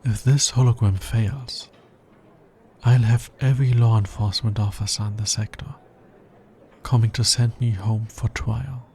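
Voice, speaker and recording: Man playing a slow, gravelly, anxious alien scientist. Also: on the low side, steady tone, darkish tone, a little hiss or hum. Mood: nervous. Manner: hesitant, formal, halting. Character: anxious, logical male alien scientist in love with a human. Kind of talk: ASMR roleplay, soft intimate acting.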